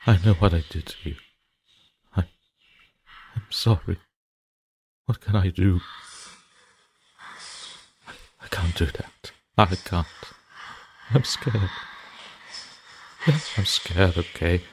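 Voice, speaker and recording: A man's voice: very deep voice, steady tone, takes it slowly, pauses often, a little hiss or hum. A man playing an exhausted, guilt-ridden young man who is falling apart, reading his journal in a trembling, stammering, pleading voice. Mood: pleading, panicked. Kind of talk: horror story narration, journal reading.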